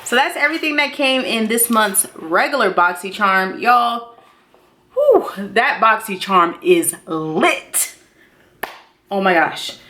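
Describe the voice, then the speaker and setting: A woman in her thirties chatting casually and excitedly to viewers as a beauty vlogger, expressive and slangy, repeating herself for emphasis. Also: medium pitch, takes it slowly. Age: 30s. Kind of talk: casual excited unboxing chat.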